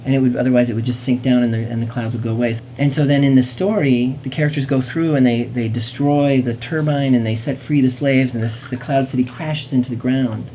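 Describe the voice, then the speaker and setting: A woman's voice: a bass voice, fairly even intonation, fairly quick, few pauses, mellow, slight background noise, rough recording. A woman hosting a talk show in casual, playful chat, rambling along in a whimsical way.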